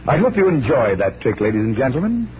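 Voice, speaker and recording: A man's voice: medium pitch, sing-song, fast, close to an everyday voice. An actor playing a confident, genial magician detective in 1940s radio style, instructing like a theatrical showman. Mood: genial explaining. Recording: dull, noisy vintage radio recording.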